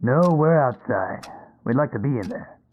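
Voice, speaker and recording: A man playing a deadpan, commanding police officer in a radio comedy, heard muffled as if through a door. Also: medium pitch, normal speed. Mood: stern, deadpan. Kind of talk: old-time radio comedy acting.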